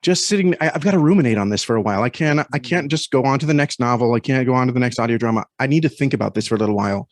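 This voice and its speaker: A man speaking as an interview guest in a thoughtful, conversational way, often halting as he searches for words. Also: mid-range voice, lively intonation, no room sound, studio quality.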